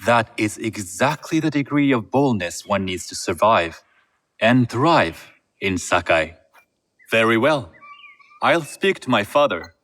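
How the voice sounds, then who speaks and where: An actor playing a shrewd, composed merchant heir in a game set in feudal Japan, speaking in a measured, formal way. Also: male voice, lowish voice, animated, fairly bright tone. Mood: measured, businesslike. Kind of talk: video game cutscene acting.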